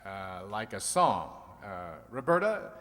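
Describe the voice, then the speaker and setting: A man's voice: fairly low pitch, sing-song, slow, fairly bright tone, full character voice. A man playing a sly, teasing host of an old-time radio quiz show, full of dry wisecracks. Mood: wry. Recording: long hall reverb.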